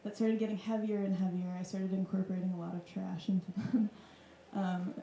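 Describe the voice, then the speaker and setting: Woman from wisconsin, thirties, warm dark voice, reflective, roomy club sound. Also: medium pitch, flat delivery, fairly quick, highs cut off, a little hiss or hum. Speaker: artist guest. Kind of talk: casual reflective talk. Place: club room with audience. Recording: echoey room.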